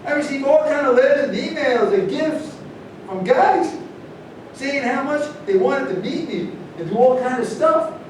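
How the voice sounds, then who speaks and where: Distant, very reverberant audio of a man acting a boastful star in a staged reading. His voice is high for a man, with clear noise around it. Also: expressive, measured pace. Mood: bragging. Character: boastful rich male star, beset by admirers. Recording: echoey noisy room, far from mic.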